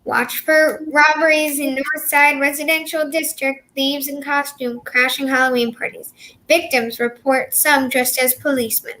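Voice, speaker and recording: A woman acts a police radio dispatcher in an old-time comedy show. The voice is very high and sharp. Bulletins come out clipped, brisk and official. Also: level tone, low-quality recording.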